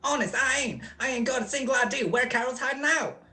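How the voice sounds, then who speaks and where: Woman acting a high, stammering, cowardly stool pigeon. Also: fast, pushed and bright, faint music behind. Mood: nervous, evasive. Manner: stammering, pleading, slangy. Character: nervous, cowardly male underworld stool pigeon. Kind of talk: old radio crime drama acting. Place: recorded at home over a video call.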